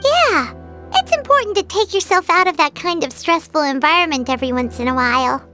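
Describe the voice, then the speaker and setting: A tiny floating fairy companion from a video game. The voice is extremely high, bright and swooping, like a small child's. She sounds chirpy, earnest and supportive. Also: animated, brisk, soft background music. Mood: cheerful.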